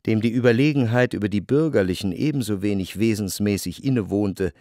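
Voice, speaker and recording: An actor playing a reflective, well-to-do narrator in a radio play, telling of society life in measured, deliberate phrases. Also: male voice, fairly low pitch, a little mellow, dry recording. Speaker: first-person narrator of a literary radio drama. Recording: dry studio with clear music. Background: music.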